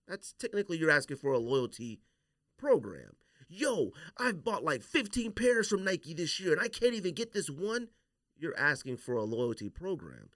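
A man hosting a podcast, talking casually with slangy, opinionated, animated delivery. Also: a little high, moderate pace, no echo, clean studio sound. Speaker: podcast host. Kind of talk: casual sneaker podcast debate.

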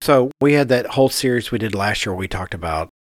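A man's voice: fairly low pitch, expressive, quick pace, no room sound. A man co-hosting a podcast, explaining things casually and conversationally, often thinking aloud. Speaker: podcast co-host, architect.

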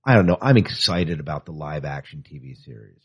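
A man's voice: rumbling low, expressive, fairly quick, husky. A man co-hosting a podcast, chatting casually and with a fan's enthusiasm about entertainment news, on a lo-fi recording. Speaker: podcast co-host. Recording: dull, lo-fi podcast audio.

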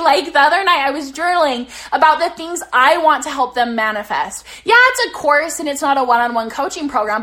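Bright high-voiced young woman coaching. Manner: energetic, swearing, motivational. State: slightly losing her voice. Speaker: business coach. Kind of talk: casual live stream talk. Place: bedroom, sitting on her bed.

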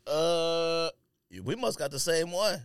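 A man's voice: on the high side, takes it slowly, clean tone, dry recording, studio quality. A man co-hosting a podcast in casual banter, talking in a slangy, joking, rambling way. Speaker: podcast co-host.

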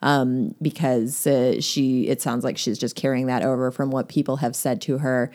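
A woman with a low voice talks through a novel in relaxed conversation. She leans on fillers and breaks into laughter easily, keeping it chatty and informal. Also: normal speed. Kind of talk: casual book discussion.

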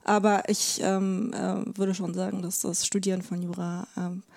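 A young woman speaks as a guest in a relaxed interview. Her voice sits at an average pitch for a woman. She explains things conversationally, with a wry, self-ironic edge. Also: normal speed, vocal fry. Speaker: interview guest, research associate.

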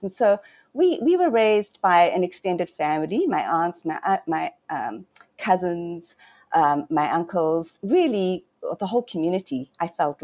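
A woman's voice: on the low side, darkish tone. A middle-aged woman, a leadership coach, telling her life story in an earnest, reflective way during a podcast interview. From South Africa. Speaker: guest, leadership coach and author.

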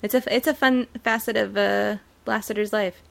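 A woman's voice: mid-range voice, fairly even intonation, normal speed, gravelly, fairly bright tone. A woman co-hosting a podcast, chatting casually about a TV show. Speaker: podcast co-host. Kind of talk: casual TV recap banter.

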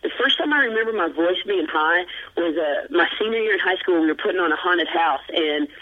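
A man with a noticeably high, light voice rambles earnestly through his answers, full of fillers and restarts. He pokes fun at himself as he talks. He comes through a narrow, tinny phone line. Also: animated, brisk. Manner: self-deprecating humor. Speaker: guest. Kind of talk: casual interview chat.

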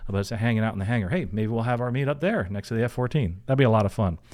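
A man's voice: mid-range voice, very fast, darkish tone. Chatty man hosting an advice podcast. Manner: informal, chatty, conversational. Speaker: podcast host and career coach. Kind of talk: casual advice podcast, answering and reading listener questions.

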